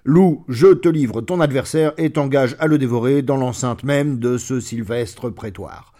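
A man doing a booming, mocking lion judge. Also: sing-song, measured pace, a little mellow. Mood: commanding. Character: mighty scheming male lion judge.

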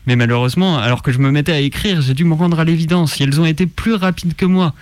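A man with a lightly raised pitch delivers a satirical radio monologue. His phrasing is colloquial and ironic, playing at naivety. The sound is dry, with hardly any room to it. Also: fast talker, no echo. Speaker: radio show host.

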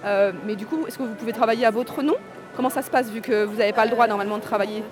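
A woman's voice: fairly high pitch, very fast. A woman interviewer asking probing questions, with fillers between them. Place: outdoors at a street march. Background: crowd and music.

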